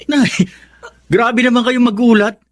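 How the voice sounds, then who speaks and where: An actor playing an earnest, grateful grown son working abroad in a Filipino radio drama. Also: male voice, very high-pitched, level tone, slow, a bit bright, dry recording, studio quality, full character voice. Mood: happy, proud.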